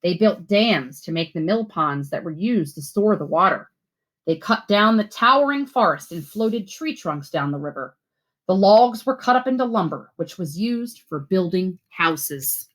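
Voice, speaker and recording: A woman's voice: on the low side, expressive, measured pace, a bit bright. Woman reading a storybook aloud. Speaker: teacher reading a picture book aloud. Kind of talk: storybook read-aloud for children.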